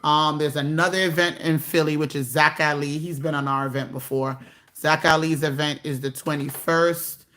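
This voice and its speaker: A woman's voice: deep voice, steady tone, normal speed, fairly bright tone. A woman casually hosting a live stream, chatting and reading viewer comments aloud.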